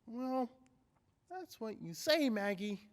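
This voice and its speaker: A woman's voice: fairly high pitch, big pitch swings, slow pace. A woman playing a skeptical, easygoing ten-year-old kid in an old-time radio drama, talking in folksy slang. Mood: reluctant. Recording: long hall-like reverb.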